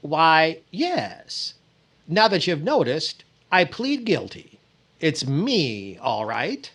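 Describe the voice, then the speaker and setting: A man's voice: on the high side, huge pitch swings, a bit bright, heavily acted. A man playing a vain, flustered, stammering middle-aged comedian in 1940s-style radio comedy. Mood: smugly flattered. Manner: hesitant, stammering, exasperated delivery with drawn-out pauses.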